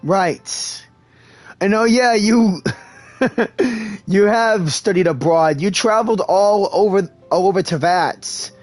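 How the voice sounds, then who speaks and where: A man plays through a game live, answering the characters aloud. His voice is quite high for a man and his delivery is slow, halting and earnest. Soft game music runs behind him. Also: dry recording. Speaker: gaming streamer and amateur voice-over artist. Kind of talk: live game playthrough, voicing replies to characters.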